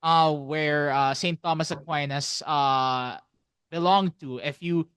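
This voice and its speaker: A man co-hosting a casual podcast, explaining things haltingly and often circling back to repeat phrases. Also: a little high, fairly even intonation, fairly bright tone, clear, resonant tone, no room sound, studio quality. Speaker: podcast co-host.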